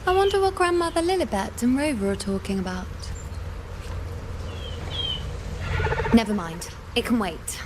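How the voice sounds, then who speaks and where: A woman's voice: on the high side, a little fast, noisy background, lightly acted. An actress playing a confident, wry young warrior woman in story dialogue for a video game. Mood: casual, alert. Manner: wry, self-assured, teasing.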